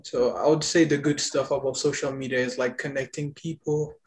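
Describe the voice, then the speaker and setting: A man, explaining things in a measured, hesitant way as a guest in a casual podcast conversation. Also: mid-range voice, steady tone. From Ethiopia.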